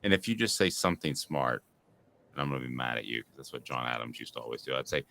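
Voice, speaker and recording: A man's voice: extremely low pitch, animated, fast talker, sharp tone. A man in his forties hosting a sports talk show, telling rambling, self-mocking stories in a casual way. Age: 40s. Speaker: sports radio host.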